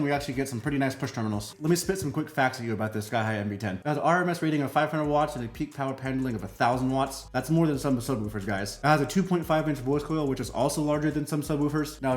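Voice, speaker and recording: A man hosting a product unboxing in an emphatic, casual, punchy style, like a hyped channel presenter. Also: mid-range voice. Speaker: product review channel host. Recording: roomy echo, faint music behind.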